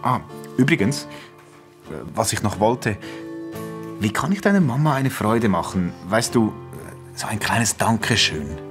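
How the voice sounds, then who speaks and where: A man's voice: on the low side, animated, soft background music. A man playing a polite, oblivious, hesitant schoolteacher in a children's radio drama. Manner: hesitant, halting. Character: awkward, polite, oblivious male schoolteacher Markovic.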